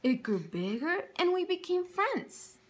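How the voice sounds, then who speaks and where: A woman's voice: very high voice, measured pace. A woman teacher reading a picture book aloud to children, telling it expressively and stopping to ask them questions. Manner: expressive storytelling with questions for young listeners.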